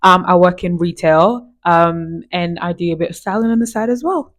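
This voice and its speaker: A woman in her twenties from Israel, a podcast guest chatting casually with a friend, animated and quick to laugh. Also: lowish voice. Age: 20s. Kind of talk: casual chat.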